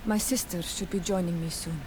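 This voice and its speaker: A woman's voice: fairly low pitch, steady tone, a little fast, natural voice. Actress as earnest young clanswoman, noisy game ambience. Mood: solemn, grateful. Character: earnest, grieving young native clanswoman, devoted and grateful. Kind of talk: video game voice acting.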